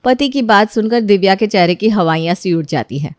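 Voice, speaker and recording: A woman narrating a scripted family story in an even storytelling manner. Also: medium pitch, brisk, no echo, clean studio sound.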